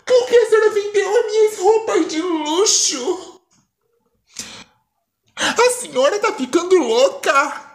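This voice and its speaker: Woman doing a shrill furious young daughter. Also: sing-song, very slow, exaggerated voice. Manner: shrill, pleading then raging. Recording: echoey room with faint music.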